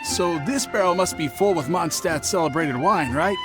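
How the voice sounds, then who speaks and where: A man's voice: on the high side, normal speed, over music, natural voice. A man voicing a shifty, hesitant traveling merchant who bluffs nervously, in video game acting. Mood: sly, curious. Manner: hesitant, stumbling, ingratiating.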